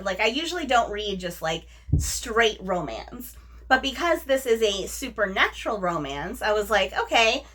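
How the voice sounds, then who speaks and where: A woman with a fairly high, bright voice talks casually to her viewers as a book reviewer. Her pitch moves in a lively way, and she leans on words with enthusiasm, often repeating a phrase for emphasis. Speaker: book reviewer, video host. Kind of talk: casual chatty book-tag vlog talk to camera.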